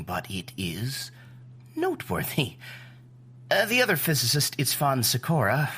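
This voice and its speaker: An actor playing a mild, bookish scientific expert in an audio drama, giving a halting briefing in a husky voice. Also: medium pitch, pushed and bright, exaggerated voice.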